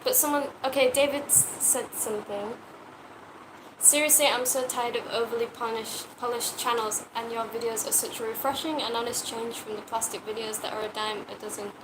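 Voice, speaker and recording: A young woman in her twenties co-hosts a travel vlog livestream and cheerfully reads out viewer messages. Her voice is on the high side, with plain background noise under it throughout. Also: level tone, fairly quick. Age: 20s. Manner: chirpy, reads viewer comments aloud. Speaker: travel vlogger. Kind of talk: casual livestream chat.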